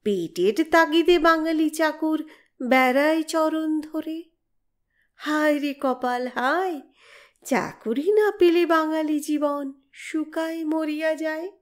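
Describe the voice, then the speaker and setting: Woman doing a dramatic poem recital, high voice, really slow measured lines, exhorting the listener. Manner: declamatory, exhorting, measured phrasing. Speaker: poetry reciter. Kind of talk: dramatic Bengali poetry recitation.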